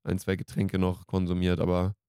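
A man's voice: low voice, monotone, normal speed, dark tone, clear, resonant tone, dry recording, clean studio sound. A man in his late twenties co-hosting a podcast in casual, slangy, jokey banter. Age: late 20s. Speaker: podcast co-host.

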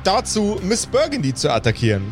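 Higher-voiced man narrating over music. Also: huge pitch swings, brisk. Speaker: game master. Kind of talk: improvised tabletop game narration. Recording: music playing behind the voice. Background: background music.

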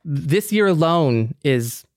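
A man co-hosting a podcast, speaking in a thoughtful, conversational way with a measured, earnest and optimistic manner. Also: fairly high pitch, expressive, darkish tone, dry recording, clean studio sound. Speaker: podcast co-host.

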